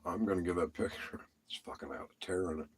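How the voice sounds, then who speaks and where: A man's voice: sits low, quick pace, slight background noise. A tired man rambling and swearing on a late-night live stream, lying down as he talks and repeating himself. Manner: talking while lying down.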